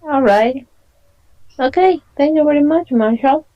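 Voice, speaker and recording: A woman's voice: high voice, lively intonation, slow pace, highs cut off. A woman, teaching an online language lesson and patiently explaining and repeating questions. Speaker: Spanish teacher.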